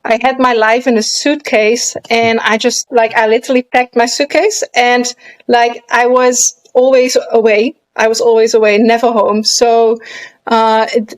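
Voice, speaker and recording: A woman's voice: a little high, level tone, a little slow, poor audio. A woman in her thirties from the Netherlands with a Dutch accent, chatting casually as an interview guest and sometimes searching for English words. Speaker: guest, pole dancer and movement artist.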